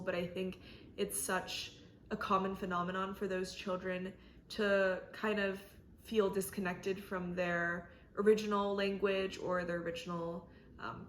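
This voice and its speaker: A young woman whose first language is Korean answers interview questions in a thoughtful, measured way, with frequent fillers. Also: medium pitch, a little hiss or hum. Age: young adult. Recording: roomy echo.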